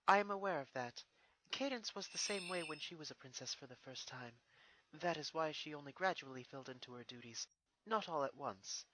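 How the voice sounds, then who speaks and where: A performer voicing a calm, formal, mature female pony ruler and mentor in a fan fiction reading. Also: medium pitch, fairly quick, slight background noise, low-quality recording. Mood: patient.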